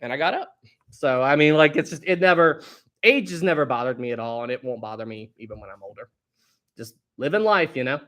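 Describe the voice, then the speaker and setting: A man's voice: medium pitch, animated, brisk, fairly bright tone. Chatty man in his thirties. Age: 30s. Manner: chatty, laughs often, reads chat aloud with playful bursts. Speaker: live stream host. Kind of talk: casual live stream chat reading viewer comments.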